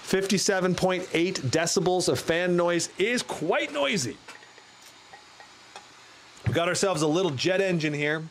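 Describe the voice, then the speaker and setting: A man with a slightly high, loud voice runs a laptop review livestream. He talks casually and chattily, reading out figures with lots of "uh" and "all right?" tossed in. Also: animated, a little slow, long pauses, slight background noise. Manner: chatty, many fillers, talks through numbers on screen. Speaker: tech reviewer hosting a livestream. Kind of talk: casual live benchmark commentary.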